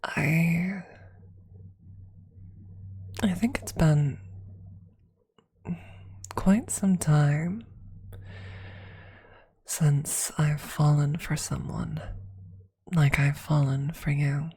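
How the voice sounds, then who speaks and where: A woman voicing a lovestruck, wistful immortal vampire in an ASMR roleplay, in a breathy whisper with slow, tender, formal old-fashioned phrasing. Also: deep voice, fairly even intonation, a little mellow, full character voice. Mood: tender, adoring.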